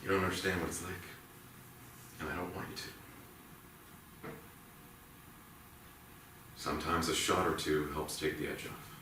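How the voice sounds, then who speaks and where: Man acting a low-voiced haunted policeman, echoey stage. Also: fairly even intonation. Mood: weary. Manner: brooding, halting, emotionally intense. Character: haunted, guilt-ridden policeman, husband and father. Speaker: actor in a staged reading. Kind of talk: dramatic theatrical monologue. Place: indoor theatre stage. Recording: echoey theatre, distant mic, faint noise.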